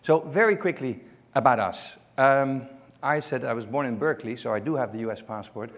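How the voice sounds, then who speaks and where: A man's voice: mid-range voice, a little fast. Dutch-accented man giving a keynote. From Netherlands. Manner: self-deprecating, jokey, with frequent fillers. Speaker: conference speaker. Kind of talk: conference keynote talk. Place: conference hall stage.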